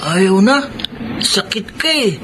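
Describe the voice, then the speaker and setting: A performer acting a childlike, affectionate son in a Filipino radio drama, speaking in simple halting phrases. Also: medium pitch, very animated, background noise. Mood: childlike, concerned.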